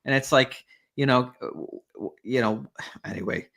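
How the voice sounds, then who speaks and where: Man, podcast host, bright tense voice, animated rambling talk. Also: mid-range voice, a little slow, creaky. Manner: animated, rambling, many fillers. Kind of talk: conversational interview.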